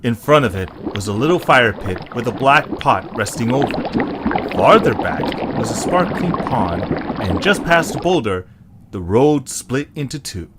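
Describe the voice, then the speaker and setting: A man's voice: mid-range voice, expressive, a little slow, background noise. A man narrating a scripted story.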